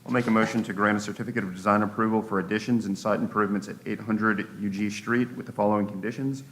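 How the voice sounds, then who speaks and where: An American man reads a formal motion as a commissioner. His delivery is procedural and measured. The voice is fairly low and very flat in pitch. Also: poor audio. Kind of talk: reading a formal motion aloud.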